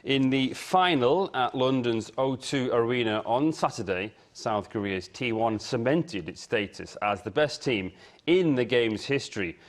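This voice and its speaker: A man's voice: medium pitch, animated, measured pace. A man working as a sports editor, delivering a broadcast sports report in a studio. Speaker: sports editor presenting sports news. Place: TV news studio.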